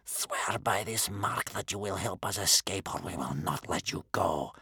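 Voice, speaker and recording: A man voicing a bitter, sceptical old grandmother in a storybook, stern and sarcastic, with a slow, spitting delivery. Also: high voice, big pitch swings, fairly bright tone, no echo, studio quality, pitched above the performer's own voice, exaggerated voice. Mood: grim.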